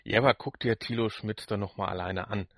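A man chatting casually as a podcast co-host, in a halting way with restarted phrases. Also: on the low side, fairly even intonation, fairly bright tone, no echo, clean studio sound. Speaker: podcast co-host reviewing audio dramas. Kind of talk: casual chat.

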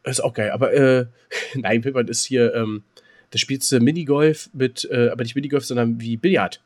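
A man's voice: medium pitch, steady tone, moderate pace. A man co-hosting a podcast in casual banter, chatty and slangy, hopping between half-finished sentences. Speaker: podcast co-host.

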